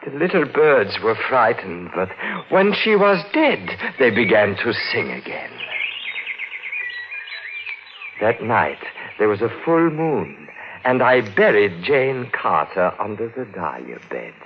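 An actor playing a soft-spoken, courteous and menacing cemetery caretaker who is secretly a murderer, in an old-time radio thriller. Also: male voice, lowish voice, big pitch swings, a little slow. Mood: brooding narration. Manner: courteous, menacing, dwelling morbidly on flowers and death. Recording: muffled, noisy 1940s radio recording.

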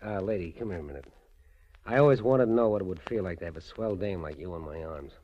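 An actor playing a tough, hot-headed racketeer in a 1940s radio drama, talking in blunt, streetwise slang. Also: male voice, deep voice, fast. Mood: smitten, eager. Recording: muffled 1940s radio broadcast recording.

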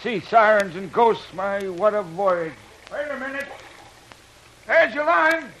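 A man's voice: sits high, expressive, a little slow, bright, forceful tone, heavily acted. A folksy deckhand from an old radio adventure. He grumbles and frets in rustic, superstitious talk. The recording is old and slightly noisy. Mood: excited, alarmed. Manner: complaining, rustic folksy speech. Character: grumbling, superstitious, folksy old-time sailor on night watch.

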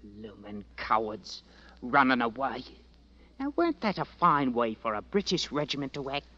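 Young Cockney army boy, cocky, bold, highly varied intonation, vintage radio acting, muffled old recording. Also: lively intonation, moderate pace, sharp tone. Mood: scornful. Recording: dull vintage 1940s-50s radio recording.

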